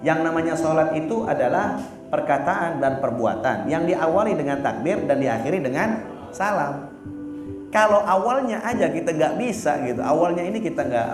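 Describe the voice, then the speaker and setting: A man preaching a sermon in a casual, blunt and teasing way, often stopping to search for words. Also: on the high side. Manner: casual and blunt, teasing, fillers like "apa namanya". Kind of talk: religious sermon. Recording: echoey room with music behind.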